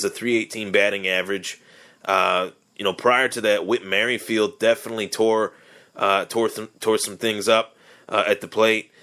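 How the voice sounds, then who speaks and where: Young man hosting a podcast solo, a bit hoarse and raspy, lowish voice, loose and rambling. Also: pushed and bright. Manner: rambling, with many fillers and restarts. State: hoarse from yelling. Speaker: podcast host. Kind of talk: casual solo sports podcast.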